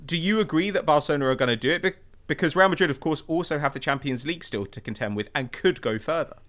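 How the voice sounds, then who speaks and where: A man's voice: fairly high pitch, quick pace, fairly bright tone. A man hosting a casual football podcast, setting out points and putting questions to his panel. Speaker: podcast host.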